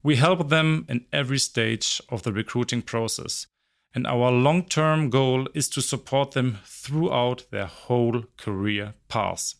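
Director, man, 40s; confident, brisk sales-style delivery. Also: mid-range voice, gravelly. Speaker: recruitment company director. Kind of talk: scripted self-introduction.

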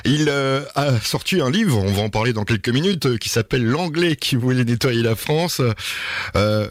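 A man's voice: mid-range voice, expressive, moderate pace, dry recording. A man hosting a local radio show, upbeat and teasing as he introduces his guest and plugs the station. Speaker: radio host. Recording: radio broadcast.

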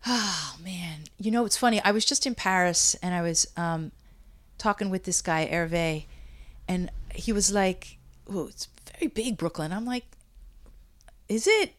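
A woman's voice: fairly low pitch, a little slow. Chatty woman in her fifties. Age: 50s. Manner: chatty, laughing, rambling with restarts. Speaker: beauty brand founder. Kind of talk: casual interview chat. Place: Brooklyn.

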